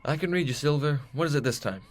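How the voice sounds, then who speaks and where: A man's voice: a little high, lively intonation, fast. A man playing a dashing, cocky space captain with jaunty old-fashioned bravado in a retro sci-fi audio drama. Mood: wry.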